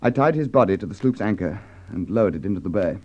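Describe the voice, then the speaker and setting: A jumpy guilty man, low voice that swings widely, dull radio. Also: lively intonation, fast talker, a little mellow. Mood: agitated. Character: guilty brother-in-law, nervous murderer. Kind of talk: 1950s radio drama acting. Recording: dull old radio recording.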